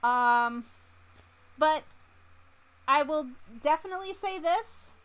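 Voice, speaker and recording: A woman streamer reading a game aloud and commenting on it in a playful, animated, exclamatory way. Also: high voice, takes it slowly. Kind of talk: live game commentary and dramatic read-aloud.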